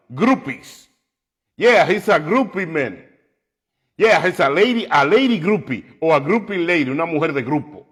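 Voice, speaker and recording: A man preaching on the radio, slow and emphatic, climbing to loud, impassioned peaks. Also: on the high side. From New York. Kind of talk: preaching commentary.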